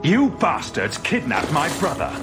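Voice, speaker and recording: A man's voice: on the high side, huge pitch swings, a little slow. A man playing a bold, blunt heroic champion in a video game drama, with a direct way of talking. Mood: indignant, challenging. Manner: direct, questioning. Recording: loud music behind the voice.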